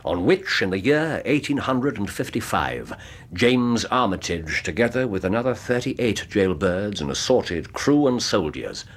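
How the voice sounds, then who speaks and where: An actor playing an incisive Victorian detective in a radio drama, with an English accent, recounting a case in precise, theatrical phrasing. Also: male voice, on the low side, husky, exaggerated voice. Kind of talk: period radio drama acting, storytelling.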